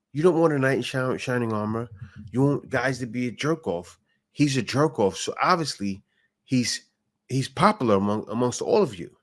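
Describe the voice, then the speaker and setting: Guy reacting to clips, blunt and argumentative, mid pitch, keeps firing off rhetorical questions. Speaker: reaction video host. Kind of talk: opinionated reaction commentary.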